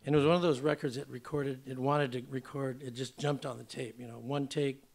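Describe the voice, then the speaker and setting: An older man, slightly hoarse, introducing his song in casual, rambling stage talk with many fillers. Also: mid-range voice, fairly even intonation, a little fast, darkish tone. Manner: rambling, filler-heavy, chatty. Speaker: singer-songwriter. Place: theater stage at a museum event.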